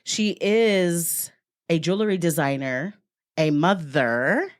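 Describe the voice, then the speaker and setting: A woman hosting her own podcast, her voice on the low side. She talks in a playful, joking way, hyping up her guest and riffing on the conversation. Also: slow pace. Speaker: podcast host. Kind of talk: casual podcast chat. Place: podcast studio, seated beside the guest.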